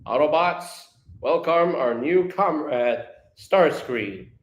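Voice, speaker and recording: A man voicing a noble robot leader in a measured, formal, welcoming way, in an echoey room. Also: a little high, animated. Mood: solemn, welcoming.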